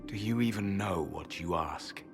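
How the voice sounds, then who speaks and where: A very deep-voiced ancient elven temple guardian in a fantasy game. He is solemn, proud and formal, with music clearly underneath. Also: unhurried. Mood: mournful. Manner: grave, formal, contemptuous. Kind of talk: dramatic fantasy game acting.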